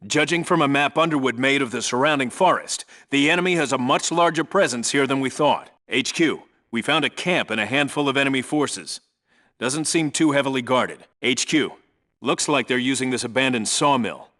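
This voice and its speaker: Casting: male actor for seasoned special forces team leader in a military game, mid-pitched, hard-edged forceful tone, terse and authoritative delivery. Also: normal speed, lightly acted. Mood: focused, reporting. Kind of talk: video game voice acting, clipped military radio reports.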